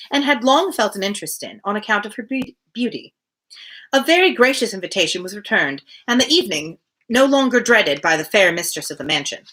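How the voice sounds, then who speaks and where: A woman reading a novel aloud on a livestream in an expressive storytelling way, with casual asides. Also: mid-range voice. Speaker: reader hosting a daily livestream.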